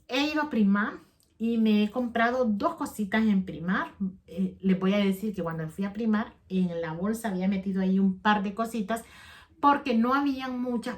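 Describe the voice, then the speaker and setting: A middle-aged woman, chatting casually to the camera for her vlog, rambling and often restarting her sentences as she talks straight to her viewers. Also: mid-range voice. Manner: rambling, chatty, self-correcting; addresses viewers directly. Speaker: vlogger.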